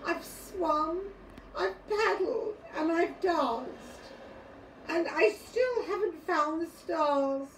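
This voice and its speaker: A woman's voice: on the high side, big pitch swings, a little slow, dark tone. A woman voicing an earnest, wistful small boy in a children's storybook, polite and pleading. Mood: weary pleading. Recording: roomy, distant mic, clearly noisy.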